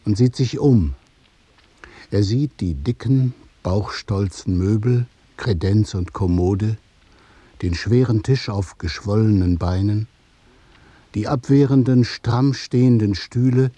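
A man narrating a literary radio play in measured, observational short phrases. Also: fairly low pitch, mellow, husky, dry recording, heavily acted.

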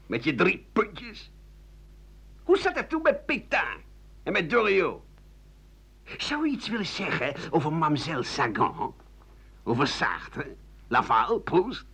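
An actor voicing insistent, pestering reporters who needle a famous writer in a radio play. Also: male voice, very animated, slow pace, gravelly, long pauses, a bit bright, slightly rough, heavily acted. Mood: needling. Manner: insistent, mocking, raised pitch. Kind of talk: 1980 Dutch radio play. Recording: muffled old radio broadcast recording.

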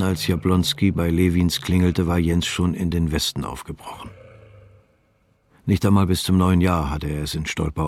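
A man narrating a literary radio drama in a calm, measured, subdued reading. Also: sits low, a little hiss or hum.

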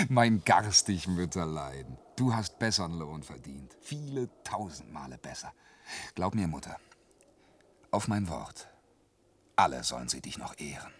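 An actor playing a young peasant rascal, a boastful, dreamy son who coaxes and brags in a radio drama in verse. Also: male voice, low voice, big pitch swings, takes it slowly, pauses often, slightly rough, full character voice. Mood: tender, coaxing. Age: young adult. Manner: swings from coaxing murmur to exuberant boasting.

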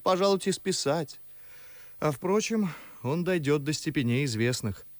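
An actor playing a witty, talkative, mocking young gentleman in an ardent, ironic verse radio drama. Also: fairly high pitch, lively intonation, a little slow, dry recording. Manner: ardent, ironic, theatrical declamation. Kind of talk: Russian classical verse radio drama acting.

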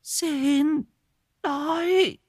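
A man's voice: extremely high pitch, fairly even intonation, extremely slow, no room sound, studio quality, voice pushed up, full character voice. Man putting on a wailing mad young woman. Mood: begging. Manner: wailing, pleading. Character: mad young homeless woman, simple, whimpering.

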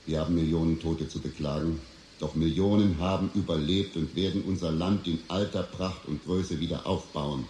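An actor playing a grave American president giving a measured, formal emergency address in a radio drama. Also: male voice, extremely low pitch, dark tone. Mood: solemn, exhorting. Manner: measured, solemn, official. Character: grave American president addressing his nation after nuclear war. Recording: echoey with faint noise.

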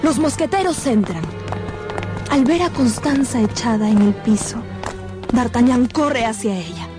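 A female narrator with a fairly high voice tells a radio drama. Her delivery is scripted and dramatic, set over clearly audible music. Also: measured pace.

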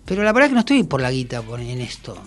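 A man's voice: mid-range voice, very animated, rapid-fire, soft background music. A middle-aged Argentine man with a Rioplatense accent, a musician chatting as an interview guest, informal and emphatic, often repeating phrases. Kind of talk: casual interview chat.

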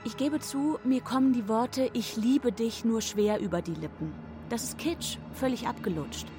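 A woman's voice: on the high side, normal speed. A woman reading a scripted, reflective text. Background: music.

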